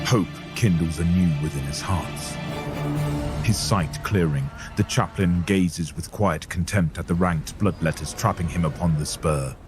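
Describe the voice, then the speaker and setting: A man's voice: sits low, measured pace. A man narrating a scripted audio story in a dramatic style. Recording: clear music bed under voice. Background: dramatic music.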